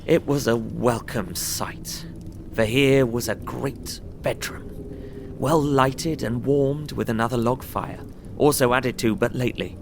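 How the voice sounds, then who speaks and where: A man playing an earnest young solicitor, narrating his travel diary in a measured, descriptive way for an audio drama. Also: medium pitch, steady tone, gravelly, background noise. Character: young English solicitor recounting his journey, earnest, observant. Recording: prominent music under voice. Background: music.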